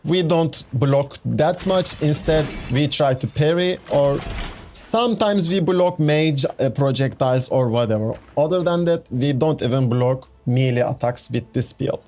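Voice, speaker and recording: A man's voice: on the high side, measured pace, darkish tone. A young man with a Turkish accent, a gaming YouTuber, chattily walking through a game build with fillers and restarts. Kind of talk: casual game build walkthrough. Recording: faint background music.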